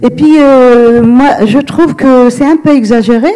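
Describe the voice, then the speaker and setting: A woman from Algeria asking a question from the audience, halting, with many restarts and fillers. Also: high voice, dark tone, low-quality recording. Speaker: audience member.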